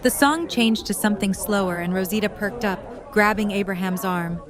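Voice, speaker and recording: A woman's voice: mid-range voice, moderate pace. A woman reading scripted story narration as the narrator of an audio drama. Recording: music and crowd chatter behind.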